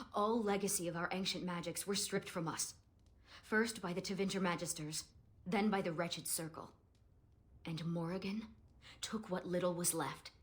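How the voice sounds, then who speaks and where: A woman's voice: fairly low pitch, close to an everyday voice. An actress playing a proud, guarded young elven woman in a fantasy game, speaking earnestly and formally. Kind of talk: scripted fantasy game dialogue.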